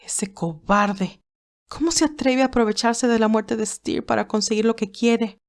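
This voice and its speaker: A woman's voice: on the high side, a little mellow, voice pushed up, lightly acted. A woman voicing a passionate, wounded young woman who pleads and protests defiantly in an audiobook story. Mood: indignant. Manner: pleading, emphatic questions.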